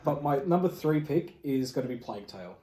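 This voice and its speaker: A man's voice: mid-range voice, animated, fast talker. A man chatting casually as a podcast co-host in a blunt, slangy, sweary way, recorded in an echoing room. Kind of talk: casual group podcast chat. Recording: echoing room with clear background noise.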